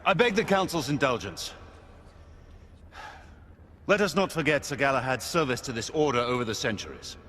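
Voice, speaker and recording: A man playing a loyal knight commander in a video game, speaking formally, with measured diplomacy. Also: medium pitch, animated, fairly bright tone, lightly acted. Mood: pleading. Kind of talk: period drama game acting. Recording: reverberant hall with background ambience noise.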